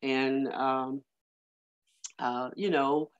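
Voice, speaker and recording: A woman's voice: rumbling low, level tone, very slow, smooth. Hesitant woman on a muffled call. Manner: rambling, hesitant, many fillers. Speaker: community working group member. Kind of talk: casual meeting remarks. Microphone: video call. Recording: muffled lo-fi video call audio.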